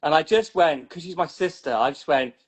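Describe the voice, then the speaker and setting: A man's voice: on the high side, animated, fairly quick. Rambling British man comedian. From Newcastle, England. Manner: rambling, many "like" fillers, self-mocking. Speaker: stand-up comedian. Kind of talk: casual chat about his act.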